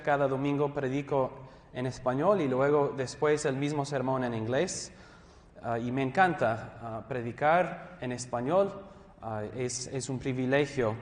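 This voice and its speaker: A man from Southern California with an American English accent, a pastor teaching a theology class in his second language, friendly and self-deprecating, pausing and restarting often. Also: mid-range voice. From Southern California, USA. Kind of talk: classroom lecture. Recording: echoey hall, long reverb.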